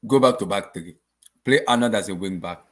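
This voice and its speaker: A man hosting a casual football discussion show, asking his guests questions in an animated way. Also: on the low side, brisk. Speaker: host.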